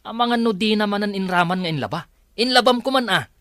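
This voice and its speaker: A man's voice: sits high, lively intonation, fast talker, fairly bright tone, no echo. A man with an Ilocano accent playing a surly, domineering husband in a radio-style drama. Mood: irritated.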